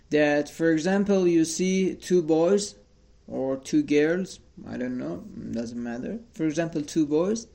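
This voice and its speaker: Slow-talking young man musing on a quote. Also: on the high side, darkish tone. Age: 20s. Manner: slow and halting, many uh fillers and restarts. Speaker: host of a quote podcast. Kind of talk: casual solo talk. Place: sitting at home in front of a mirror.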